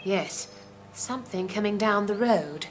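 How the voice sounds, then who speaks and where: A woman's voice: medium pitch, animated, unhurried, background noise, close to an everyday voice. A woman playing a time traveller's dry-witted, cautious companion in an audio drama, speaking formally and precisely. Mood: wary, dry.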